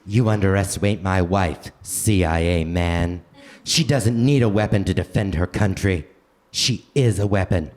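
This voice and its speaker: A man's voice: deep voice, measured pace, a little mellow. A man playing a devoted, admiring husband in a comedic live radio play, earnest and melodramatic. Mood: proud, dramatic.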